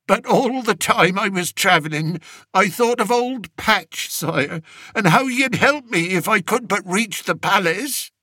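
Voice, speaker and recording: A man's voice: sits high, animated, measured pace, pushed and bright, no room sound, clean studio sound, pitched above the performer's own voice, full character voice. A man voicing a frightened, tearful old male shepherd who speaks in a rustic dialect and wheezes. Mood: pleading, tearful.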